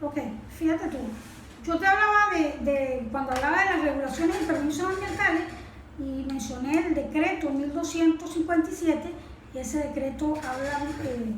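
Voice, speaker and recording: A Venezuelan woman explaining things as an expert guest in an interview, often posing questions and answering them herself. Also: sits high. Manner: didactic, asks and answers own questions. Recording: echoey room with faint noise.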